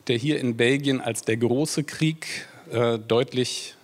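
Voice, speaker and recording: A man from Germany, a journalist moderating a panel discussion, who introduces the guest formally and in measured phrases. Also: medium pitch.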